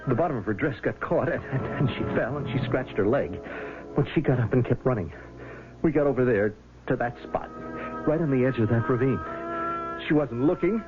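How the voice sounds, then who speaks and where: An actor playing a scheming, bitter husband who has turned blackmailer, sardonic and falsely easygoing, in 1970s radio drama style. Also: male voice, mid-range voice, lively intonation, a little fast, over music, close to an everyday voice. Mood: tense, recounting. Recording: narrowband, noisy old radio broadcast recording.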